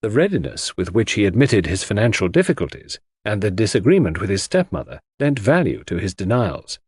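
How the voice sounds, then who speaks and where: A man reading classic crime fiction as a scripted audiobook, in formal, measured storytelling. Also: on the low side, sing-song, slightly rough, no room sound. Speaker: audiobook narrator.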